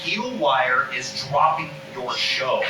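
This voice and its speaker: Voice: medium pitch, lively intonation, slow, over music. A performer reading an exasperated, blunt man breaking bad news by phone in a comedy table read, sarcastic and stammering. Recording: roomy, distant mic with loud background noise.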